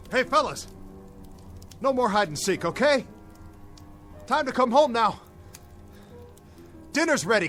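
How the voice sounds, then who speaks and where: Young American military pilot, stranded and guilt-ridden, highly animated pitch, broken self-talk, radio drama, music underneath. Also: male voice, exaggerated voice. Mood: desperate calling. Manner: talking to himself in broken, searching phrases. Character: dazed, guilt-ridden young male bomber captain stranded in desert.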